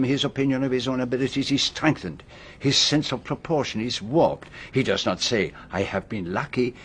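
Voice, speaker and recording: A male actor plays a middle-aged Belgian detective in a radio drama, with a French-speaking accent. His medium-pitched voice is deliberate and formal, courteous yet commanding, with theatrical self-assurance. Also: husky, close to an everyday voice.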